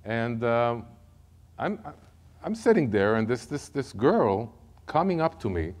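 A middle-aged Israeli man with an Israeli accent giving a testimony sermon from a church podium, telling his story in an animated way and often repeating words as he goes. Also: medium pitch, unhurried. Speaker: guest speaker. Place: church sanctuary, large room.

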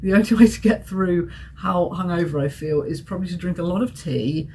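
A woman's voice: lowish voice, fairly quick, a little mellow, slight background noise. A young woman vlogging from a hotel room, rambling and giggly, hungover and getting a sore throat. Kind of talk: casual vlog chat.

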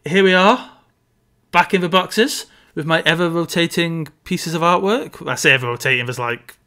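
A man in his thirties with a bright, slightly higher voice talks casually about a film on a home video call. He is chatty and wry and pushes back on his co-host when they disagree. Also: moderate pace. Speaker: podcast co-host. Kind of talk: casual film-review chat. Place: home room.

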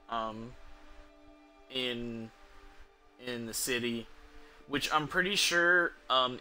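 Bright-voiced young man streaming a game. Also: medium pitch. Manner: rambling, swears casually. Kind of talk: casual gameplay commentary. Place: indoor room at home. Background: game music.

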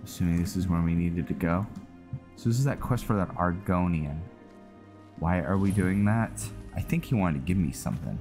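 A man giving casual, low-key commentary as he plays a video game, muttering his thoughts aloud. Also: moderate pace, darkish tone. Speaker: gamer, channel host. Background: game music.

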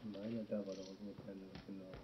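German man, middle-aged, gaming streamer, lowish voice, muffled audio with clear noise behind. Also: level tone, quick pace. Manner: talks to himself while playing, reacts to chat. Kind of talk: let's play commentary. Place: small room indoors.

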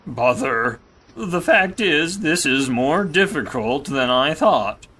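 A man playing a gloomy, self-important donkey poet in a children's audio drama, ponderous, halting and grumbling. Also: on the high side, animated, bright, forceful tone, slightly rough, exaggerated voice. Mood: gloomy, reciting.

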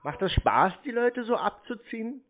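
A man's voice: extremely high pitch, sing-song, moderate pace. A man chatting casually and confrontationally on a phone line, taunting and mocking the other person. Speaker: scam baiter on a prank call. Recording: narrow, tinny phone line.

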